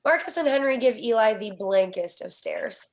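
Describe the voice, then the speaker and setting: A woman's voice: a little high, lively intonation, normal speed. A woman reading scripted screenplay stage directions as narrator at a table read.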